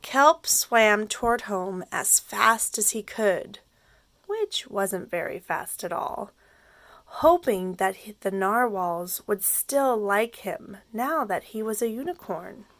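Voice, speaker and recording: A woman teacher reading a children's story aloud expressively, pausing to explain things simply for young listeners. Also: mid-range voice, lively intonation, a bit bright, dry recording.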